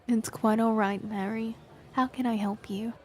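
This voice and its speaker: An actress playing a reclusive queen who speaks in a measured, regal way. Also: a little high, steady tone, slightly rough. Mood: gentle. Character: reclusive young queen, guarded and weary. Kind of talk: audio drama acting.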